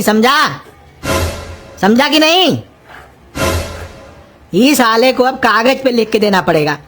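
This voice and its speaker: A woman's voice: fairly high pitch, a bit bright. A woman voicing a cranky, scolding old cartoon uncle. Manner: gruff, insulting, exasperated scolding. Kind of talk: cartoon comedy dialogue in rustic Hindi.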